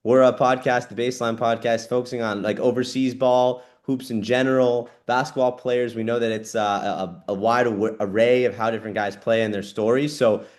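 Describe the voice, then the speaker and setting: A man's voice: medium pitch, fairly even intonation, moderate pace, hardly any pauses, darkish tone. A man co-hosting a podcast, explaining the show and asking questions in an earnest, conversational way. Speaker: podcast co-host. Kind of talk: casual podcast interview.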